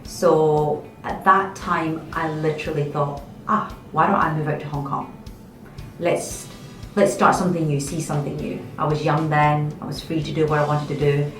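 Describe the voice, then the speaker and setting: A woman telling a personal story in an interview, in a halting, reflective way. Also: sits low, flat delivery. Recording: roomy, distant, faint music under.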